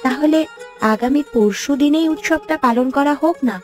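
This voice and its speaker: A woman's voice: sits high, normal speed, faint music behind, lightly acted. A woman voicing a polite, earnest village girl in a fairy-tale cartoon. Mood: hopeful, suggesting.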